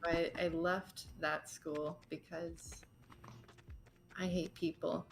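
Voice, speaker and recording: A woman's voice: on the low side, flat delivery, slow, vocal fry, slight background noise, rough recording. A woman from Texas with a slight lisp, telling rambling stories in a casual chat and correcting herself as she goes. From Texas, United States. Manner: rambling, self-correcting storyteller. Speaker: guest.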